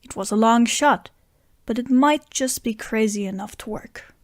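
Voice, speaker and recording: A woman plays an earnest friend with a scheme, narrating an audio drama. The voice is fairly high and recorded close and dry, without room sound. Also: moderate pace, dry recording, natural voice. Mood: reflective narration. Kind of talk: audio drama dialogue and first-person narration.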